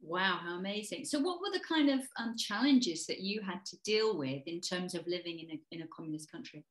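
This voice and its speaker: A woman's voice: on the low side, expressive, a little fast, roomy sound. A woman hosting a video-call interview as a happiness coach, asking upbeat, encouraging questions. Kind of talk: interview host.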